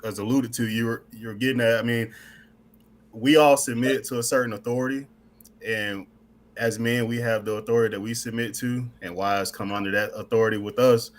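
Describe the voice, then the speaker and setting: A man's voice: medium pitch, steady tone, fairly quick. A man co-hosting a casual talk, earnest, often restarting his sentences. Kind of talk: casual chat.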